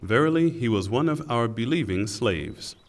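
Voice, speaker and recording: A man reading a scripture translation in a solemn, formal, deliberate way, with pauses. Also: mid-range voice, expressive. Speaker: translation reader. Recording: long hall reverb.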